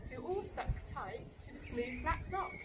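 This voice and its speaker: A woman with a high voice reads a rhyming picture book to children. Her delivery is dramatic and very lively, swinging up and down in pitch. There is clear noise behind her. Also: measured pace. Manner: animated, dramatic storytelling. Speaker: storybook reader, school staff member.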